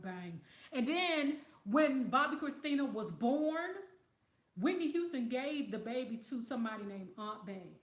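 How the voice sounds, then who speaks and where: High-voiced blunt woman, echoey room. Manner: blunt, profane, emphatic. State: losing her voice. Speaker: livestream host reading viewer comments. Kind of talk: casual livestream commentary.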